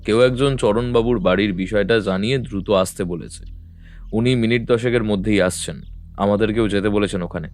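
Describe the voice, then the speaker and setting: A man playing a composed, observant detective in an audio drama, talking in a measured, thoughtful, familiar way. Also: fairly low pitch, darkish tone, faint music behind, lightly acted. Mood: purposeful.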